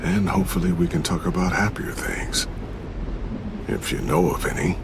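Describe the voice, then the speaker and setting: An actor playing a gentle fatherly mentor in a game, with an American accent, tender, reassuring and gravelly. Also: low-pitched, fairly even intonation, moderate pace, natural voice. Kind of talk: game voice acting, intimate dramatic dialogue. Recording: game audio with clear ambient noise.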